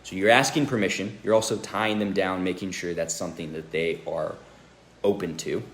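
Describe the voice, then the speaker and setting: A man's voice: deep voice, fairly even intonation, normal speed. A man giving an informal sales training talk in a conversational, instructive way, often checking in with the listener. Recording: echoey room with faint noise.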